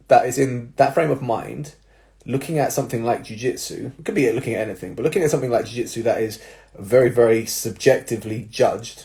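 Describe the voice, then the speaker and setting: Man, young adult, stuffy nose, slightly nasal, mellow voice, casual coaching advice, explanatory with fillers. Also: medium pitch, gravelly. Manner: explanatory, with tag questions and fillers. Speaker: personal trainer and jiu-jitsu coach. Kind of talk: casual advice talk to viewers.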